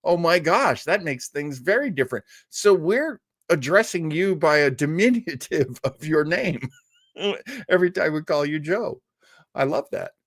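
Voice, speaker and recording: A man chats as host of a casual livestream, playful and jokey. His voice sits a little high and swings up and down in very lively fashion. The sound is close and dry. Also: measured pace, no echo. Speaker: host of a live old-time radio listening show. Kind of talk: casual live chat with viewers.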